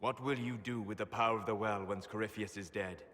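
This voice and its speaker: A fairly low male voice from an actor as a scholarly wanderer in a fantasy game. He is solemn, courteous and melancholy, measuring out formal phrases. A hall-like reverb surrounds him. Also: fairly even intonation, brisk, fairly bright tone, lightly acted. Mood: reflective. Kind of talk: dramatic game dialogue.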